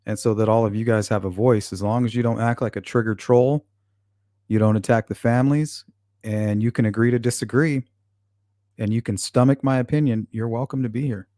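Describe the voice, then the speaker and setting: A man's voice: medium pitch, fairly even intonation, fairly quick, mellow, no echo, studio quality. A man hosting a livestream, reading viewer comments aloud and answering them bluntly, with frequent swearing. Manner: blunt and profane, talks back to chat. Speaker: channel host reading live chat. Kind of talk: casual livestream chat.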